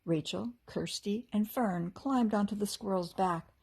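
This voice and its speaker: A woman reading a storybook aloud as a teacher would to children, with expressive delivery and character voices. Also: medium pitch, unhurried, gravelly, breathy edge.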